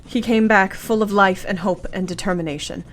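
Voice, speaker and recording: An actress playing an earnest, tender young Victorian wife in a radio play, with formal period diction. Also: female voice, medium pitch, fairly even intonation, fairly quick, a little hiss or hum, lightly acted, rough recording. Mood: fervent.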